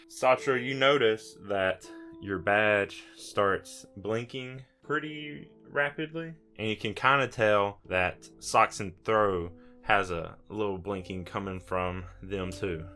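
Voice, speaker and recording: A man's voice: fairly low pitch, bright, forceful tone. A man working as game master, narrating the action of a tabletop roleplay game.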